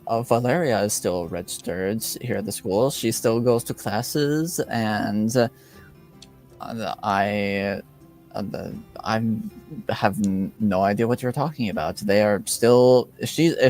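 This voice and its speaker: A man's voice: on the low side, slow, darkish tone, soft background music. A man voicing a flustered, defensive university dean in a tabletop game, stammering and hesitant. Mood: defensive, confused.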